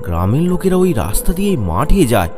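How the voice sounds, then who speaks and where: A man's voice: a little high, very animated, fast, music in the background. A man narrating a scripted horror story with dramatic storytelling delivery.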